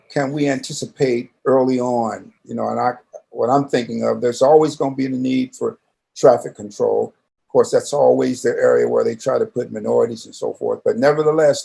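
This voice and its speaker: An older man in a casual interview conversation, talking in a halting, rambling, folksy way. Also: mid-range voice, vocal fry, a little mellow.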